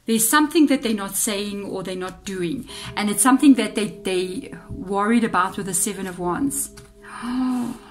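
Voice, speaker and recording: A woman's voice: medium pitch, moderate pace, soft background music. A woman with a South African accent giving a casual tarot reading, musing aloud and talking straight to her viewers, her voice a little off. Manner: chatty, musing aloud, addresses viewers directly. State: voice a bit off, slightly unwell-sounding. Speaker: tarot reader. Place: indoors at a tabletop altar.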